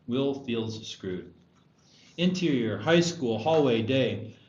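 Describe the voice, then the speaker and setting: A man's voice: medium pitch, animated, slow pace, darkish tone. Man narrating a screenplay, echoey room. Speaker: narrator reading stage directions. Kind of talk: scripted narration, screenplay table read. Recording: dull echoey room, noisy.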